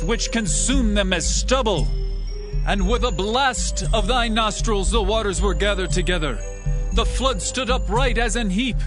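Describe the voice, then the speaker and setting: An actor playing Moses as a solemn, exultant prophet, reciting scripture in a declamatory, reverent and measured way over music. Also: male voice, high-pitched. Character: Moses, solemn exultant prophet leader singing praise to God.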